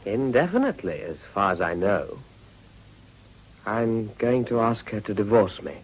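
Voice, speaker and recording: An actor with a British accent playing an urbane, stoic politician, slightly husky, courteous and understated in a restrained drama style. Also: male voice, lowish voice, animated, normal speed, poor audio. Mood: wry resignation. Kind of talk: restrained television drama acting.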